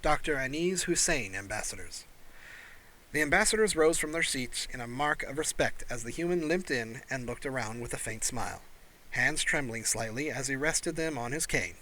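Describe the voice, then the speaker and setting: Bright-voiced male story narrator. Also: mid-range voice, moderate pace, poor audio. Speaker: audiobook narrator. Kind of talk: scripted story narration with character dialogue.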